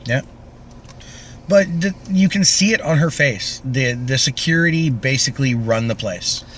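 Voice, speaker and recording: A man's voice: mid-range voice, lively intonation, measured pace, a bit bright, slight background noise. A man co-hosting a casual podcast chat, talking in a laid-back, terse way. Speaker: podcast co-host.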